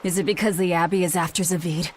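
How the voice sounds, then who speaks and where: A woman's voice: on the low side, fairly even intonation, fast, slight background noise, close to an everyday voice. Woman playing a cool, brusque fantasy adventurer. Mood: guarded, brisk. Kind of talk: game voice acting.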